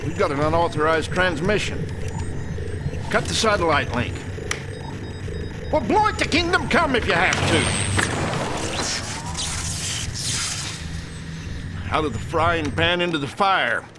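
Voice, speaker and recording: An actor playing a folksy, gruff older American army commander giving plain-spoken, emphatic orders in a game cutscene. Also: male voice, fairly high pitch, huge pitch swings, moderate pace, long pauses, loud music behind the voice. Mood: alarmed, urgent. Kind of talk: acted game cutscene briefing.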